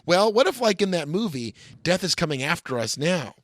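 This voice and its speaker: A man's voice: on the high side, big pitch swings, moderate pace, no echo, studio quality. A man co-hosting a comedy podcast, bantering and giving dramatic readings of a screenplay in a bossy, sarcastic, mock-earnest way. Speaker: podcast co-host.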